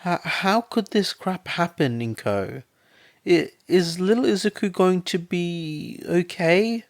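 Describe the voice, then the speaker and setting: A man voicing a brash, foul-mouthed middle-aged mother who swears and stammers, raised high and emotional. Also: expressive, slow, dry recording, clean studio sound, full character voice. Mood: horrified.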